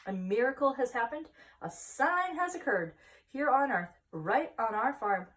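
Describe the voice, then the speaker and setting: A woman's voice: on the high side, animated, unhurried, fairly bright tone, recorded from a distance, pitched above the performer's own voice. A woman voicing an awestruck, self-important farmer husband who speaks with solemn, emphatic wonder in a storybook reading. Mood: awestruck, excited.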